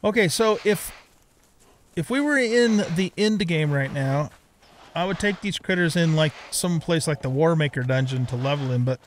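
A man's voice: a little high, animated, normal speed, slight background noise. A middle-aged man giving casual gameplay commentary, rambling and thinking aloud as he hosts a let's play.

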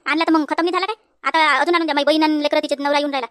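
A woman's voice: high-pitched, fairly even intonation, very fast, a bit bright, no echo, clean studio sound. A woman voicing a bossy, nagging village wife in a comic cartoon, curt and demanding, with a rural Varhadi Marathi accent. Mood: nagging, impatient. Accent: rural Maharashtra, Varhadi. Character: bossy, nagging village wife ordering her husband about.

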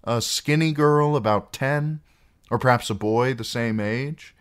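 A man's voice: mid-range voice, a little slow, clean tone, pitched above the performer's own voice, lightly acted. A man voicing a wry outlaw singer in an audiobook, teasing and falsely kind, with a lilting delivery. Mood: mock regretful. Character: wry, mocking outlaw singer, smoothly cruel adult man. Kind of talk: audiobook character acting.

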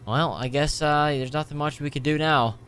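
A young man doing casual, excitable roleplay commentary over a video game, animated and slangy with frequent exclamations. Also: fairly high pitch, moderate pace, clean tone. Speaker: gaming video host.

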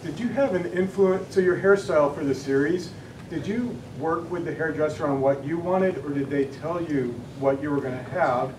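A man's voice: a little high, moderate pace, background noise. A man in a convention audience politely asking a two-part question. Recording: distant, roomy hall audio.

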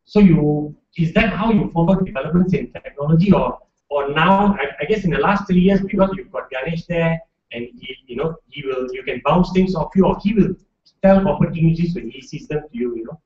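A man's voice: fairly high pitch, a little fast. A man with a Malaysian English accent moderating a panel, asking long, hedging questions into a shared handheld mic. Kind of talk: panel discussion. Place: office event space with audience. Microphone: shared handheld mic, far camera. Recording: roomy, distant, dull lo-fi sound.

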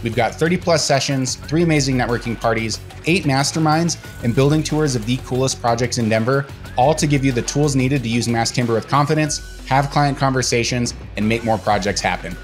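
A man's voice: mid-range voice, fairly quick, few pauses, soft background music. A young man hosting a podcast, reading a promo and asking his guests questions. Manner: upbeat promo read, then relaxed questions. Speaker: podcast host. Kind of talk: podcast host intro and questions. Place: home office.

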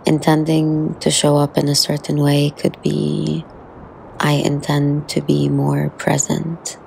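Slow, creaky low-voiced woman guiding meditation. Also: completely flat delivery, dark tone, slight background noise, rough recording. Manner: soothing, unhurried, with long pauses. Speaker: guided meditation instructor. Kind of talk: guided meditation narration.

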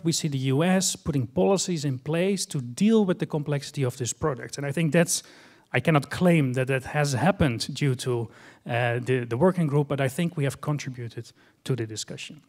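A middle-aged man with a strong Dutch accent, giving a conference talk from a stage into a handheld microphone, explaining in a measured way with frequent fillers. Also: fairly high pitch, darkish tone. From Netherlands. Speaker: conference speaker. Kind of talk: conference presentation. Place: large conference hall stage.

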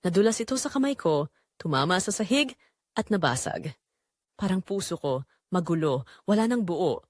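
A woman's voice: lowish voice, lively intonation, moderate pace, long pauses, a little mellow, no echo, clean studio sound. A woman playing a guilt-ridden young woman who confesses a forbidden love in an emotive, dramatic audio drama narration. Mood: guilt-stricken.

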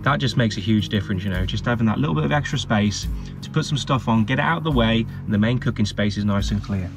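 A man's voice: on the low side, quick pace, gravelly. A man in his thirties with an English accent, chatting cheerfully to camera as a van life vlogger inside a parked camper van. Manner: chatty, cheeky, upbeat. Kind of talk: casual vlog chat to camera. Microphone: action camera on a mount. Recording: music playing underneath.

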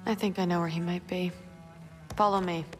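A woman acting as a brisk, guarded teenage warrior girl in a Norse fantasy video game, speaking tersely and directly. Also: fairly low pitch, steady tone, faint music behind, close to an everyday voice.

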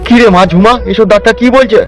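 A man's voice: high voice, quick pace, natural voice. A man voicing a middle-aged, hot-tempered father in a comedy cartoon, ranting and scolding over music. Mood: shocked, indignant. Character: furious, foul-mouthed protective village father. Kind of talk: melodramatic comedy cartoon dubbing. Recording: music under the voice.

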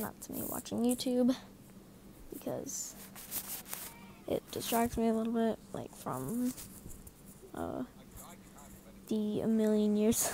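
A young woman narrates her drawing video at a slow, dragging pace, sounding tired and trailing off into fillers. Her voice sits a little high, close on the mic, with a faint hiss in the background. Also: warm tone. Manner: rambling, filler-heavy, often apologizing. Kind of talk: casual voice-over narration while drawing. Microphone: mic right next to her mouth.

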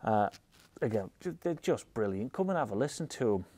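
A man's voice: medium pitch, big pitch swings, a little fast, mellow, breathy edge. A British man in his thirties presenting a product overview with an enthusiastic manner. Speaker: audio shop presenter. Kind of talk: product review narration to camera.

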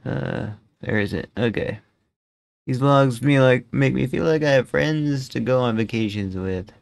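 A man's voice: medium pitch, measured pace, vocal fry, no echo, studio quality. A young man giving laid-back, slangy reaction commentary as a streamer at his desk. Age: young adult. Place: indoor room at a desk.

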